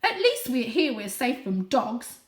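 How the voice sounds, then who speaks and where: A woman's voice: fairly high pitch, very animated, a little slow, reverberant, voice pushed up. A woman voicing a fussy, easily scandalised mother cat in a storybook, with a tutting, scolding manner. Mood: scandalised, alarmed.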